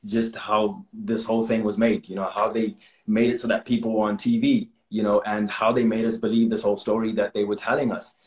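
A man's voice: fairly low pitch, flat delivery, a little fast, a little mellow, echoey room, poor audio. A young man from Zimbabwe, an actor guest talking earnestly and chattily on a video call from a small room, laughing often. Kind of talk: casual interview over video call. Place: small room indoors at home.

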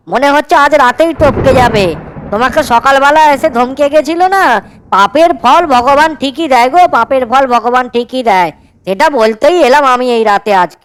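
A woman's voice: high voice, animated, moderate pace, a little hiss or hum, low-quality recording. A woman voicing a gossipy, resentful young village housemaid in a cartoon. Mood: gossipy, righteous. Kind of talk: cartoon dialogue. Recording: music over the voice.

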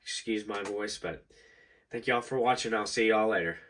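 A young man with a nasal, stuffy voice from allergies, chatting casually through a show-and-tell of his collection, relaxed and rambling with lots of ums. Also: lowish voice, moderate pace. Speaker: knife collector vlogger. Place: indoors at a table.

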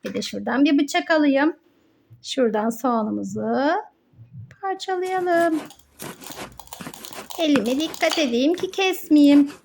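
A woman with a high voice presents toy play for children. She improvises her narration at a slow pace, explaining each small step simply to young viewers. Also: creaky, a little mellow, slight background noise.

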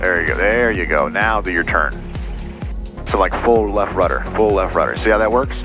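A man's voice: deep voice, moderate pace, music in the background. A man working as a flight instructor, giving short repeated commands and encouragement over cockpit intercom audio.

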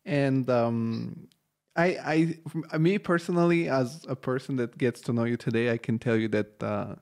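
A man in his thirties from Bulgaria, hosting an interview podcast from a small home studio on a boom mic, friendly and hesitant with many fillers. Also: moderate pace, darkish tone. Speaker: podcast host. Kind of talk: casual interview. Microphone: studio boom mic, headphones.

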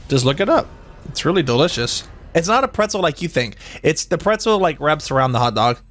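A young man hosting a let's play, his voice a little high and very lively as it rises and dips. He rambles and jokes casually, swearing freely while he plays. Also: normal speed. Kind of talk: casual gaming chat.

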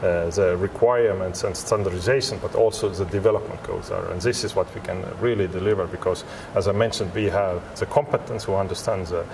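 A man in his forties giving interview answers as a company representative, in halting, repetitive non-native English. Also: low-pitched, fairly even intonation. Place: large glass-walled expo hall, indoors. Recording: clear ambient hum of large venue.

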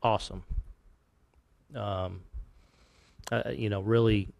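A heartbroken man in his fifties answers reporters' questions, stopping and restarting often. His voice sits low and barely moves in pitch. The table mic sounds close and dry. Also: fairly even intonation, gravelly, no echo. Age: 50s. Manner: halting, soft-spoken, many restarts and fillers. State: heartbroken, subdued. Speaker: baseball manager. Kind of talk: postgame press conference answers. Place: press conference room. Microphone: table microphone.

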